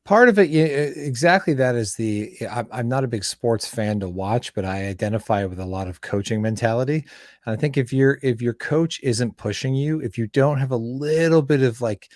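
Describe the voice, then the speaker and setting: A man hosting a casual filmmaking interview, talking in a halting way and repeating words as he forms his thoughts. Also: mid-range voice, a little mellow, dry recording, clean studio sound. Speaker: interview host and fellow filmmaker.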